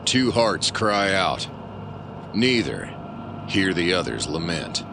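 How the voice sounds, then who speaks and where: A man's voice: deep voice, big pitch swings, slow pace, gravelly, background noise, exaggerated voice. A man playing a grandiose pulp adventure narrator in a comic audio drama, pompous and dramatic with a straight face. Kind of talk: mock-heroic scripted audio drama narration.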